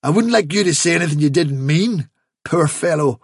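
Storybook rabbit leader, male reader: fatherly, mock-kindly, slightly husky, raised pitch, patient condescension. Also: expressive, moderate pace, no room sound. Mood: kindly, pitying. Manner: patient, reasonable, gently condescending. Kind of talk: storybook character reading.

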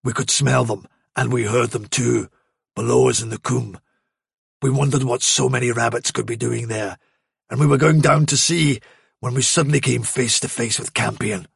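Man voicing a husky, urgent rabbit scout. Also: mid-range voice, fairly even intonation, normal speed, no room sound, clean studio sound, full character voice. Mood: panicked, grave. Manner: urgent, gasping. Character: frightened, urgent male rabbit scout bringing warning.

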